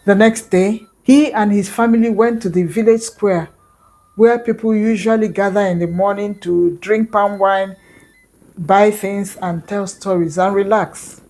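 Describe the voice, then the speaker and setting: A woman's voice: mid-range voice, steady tone, measured pace, a little mellow, poor audio. A woman with a Nigerian accent narrating an oral folktale conversationally, adding small explanatory asides as a storyteller.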